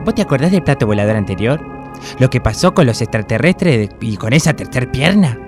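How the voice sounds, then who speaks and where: A man's voice: medium pitch, animated, brisk, music in the background, lightly acted. A man with an Argentine accent playing a bossy, impatient, scheming paranormal investigator in a comic radio play. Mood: suspicious. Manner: scolding, emphatic. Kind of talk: comedic amateur radio play acting.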